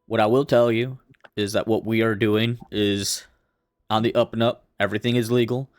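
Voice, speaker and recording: A man playing a tabletop roleplaying game, improvising his character's lines in a measured, deliberate way, in short phrases. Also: fairly low pitch, steady tone, no echo, clean studio sound.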